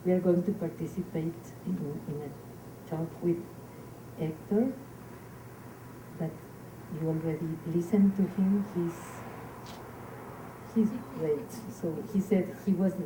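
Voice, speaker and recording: Slow Mexican-accented woman, echoey hall. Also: on the low side, steady tone. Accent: Mexican Spanish. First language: Spanish. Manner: halting, many fillers and restarts. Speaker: invited poet. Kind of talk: speaking to a live gathering. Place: community hall. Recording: echoey hall, noisy room.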